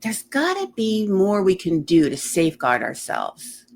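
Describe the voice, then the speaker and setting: A woman's voice: medium pitch, wildly swooping pitch, unhurried, gravelly, full character voice. Reader as troubled woman leader, exasperated, insistent, fantasy storybook, faint noise.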